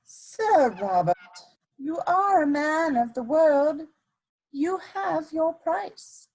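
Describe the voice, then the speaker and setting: A woman playing an elegant, ruthless blackmailer in a dramatic reading of a period play, speaking in a deliberate, imperious way. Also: sits high, sing-song, a little mellow, full character voice, low-quality recording. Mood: coolly menacing. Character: elegant scheming female blackmailer, coolly ruthless society lady.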